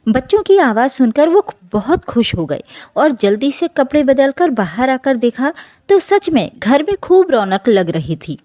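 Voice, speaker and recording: Female narrator for emotional family tales: higher-pitched, very animated intonation, soft mellow tone, scripted and dramatic. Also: a little slow, dry recording. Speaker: story narrator.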